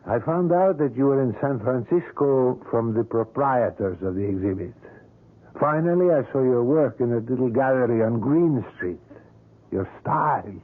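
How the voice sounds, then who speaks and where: An actor playing an obsessive older male sculptor in a vintage radio drama, speaking in a smooth, courtly, persuasive way. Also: mid-range voice, lively intonation, normal speed, lightly acted. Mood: courtly, insistent. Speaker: sculptor character. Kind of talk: 1970s radio drama acting. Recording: tinny lo-fi old radio broadcast.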